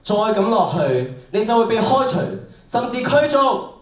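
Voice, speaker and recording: An echoing, officious judge of heaven's court , played by a man in a radio drama. The voice is fairly high and very animated, handing out orders with stern authority. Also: measured pace, heavily acted. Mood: stern, warning. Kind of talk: stage radio drama acting. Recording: echoey hall, distant mic, music.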